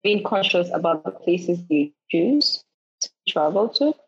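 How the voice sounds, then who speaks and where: A woman from Ghana with a lowish, husky voice tells travel stories as a podcast guest. She gets animated, acts out the people she quotes and restarts her sentences often. The audio sounds dull and lo-fi. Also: measured pace. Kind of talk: storytelling in a podcast interview.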